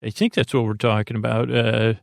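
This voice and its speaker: Creaky, rambling man. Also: flat delivery, a little fast, no room sound, clean studio sound. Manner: meandering, hesitant, self-interrupting; self-deprecating, low-key. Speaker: host of a sleep podcast. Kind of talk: rambling bedtime monologue.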